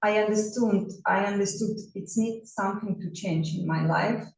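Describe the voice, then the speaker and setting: A woman's voice: medium pitch, normal speed, vocal fry, slight background noise. Woman, 40s, Russian accent, non-native English, hesitant with restarts, echoey room. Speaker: bodywork therapist. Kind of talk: self-introduction talk. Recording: roomy echo, mic at a distance.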